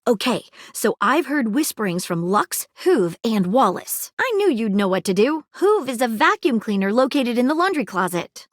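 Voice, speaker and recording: High, animated lady detective. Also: no echo, studio quality. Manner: formal, deliberate, theatrical phrasing. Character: earnest, flirtatious female detective devoted to cracking cases. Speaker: voice actor in a video game. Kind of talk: scripted game character dialogue.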